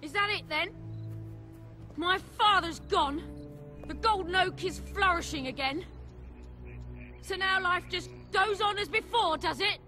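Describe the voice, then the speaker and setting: An actress playing a hot-tempered young warrior woman in a video game, blunt and forceful, full of emotion. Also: very high-pitched, measured pace, pauses often, faint music behind, exaggerated voice. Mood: anguished, angry. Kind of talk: scripted video game dialogue.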